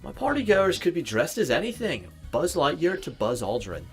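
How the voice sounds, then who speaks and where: A man's voice: fairly high pitch, huge pitch swings, moderate pace, music in the background. A man playing a laid-back, slangy young party-loving ghost in a game, talking in casual bro style. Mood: easygoing. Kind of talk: voiced game character dialogue.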